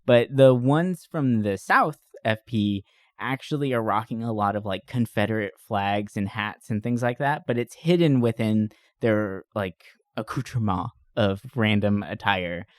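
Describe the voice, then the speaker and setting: A man hosting a podcast in casual chat, talking in an informal, joking, animated way. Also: lowish voice, a little slow, no echo, studio quality. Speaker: podcast host. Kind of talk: casual chat about movies.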